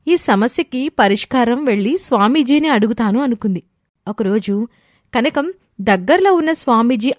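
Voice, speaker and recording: A woman's voice: on the high side, darkish tone, dry recording, clean studio sound. A woman reading scripted narration for a moral story as its narrator.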